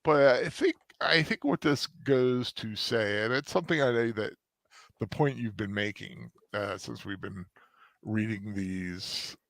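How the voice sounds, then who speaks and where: A man's voice: on the low side, measured pace, creaky, a bit bright, no room sound, studio quality. A man co-hosting a casual podcast chat, easygoing and a bit hesitant. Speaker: podcast co-host.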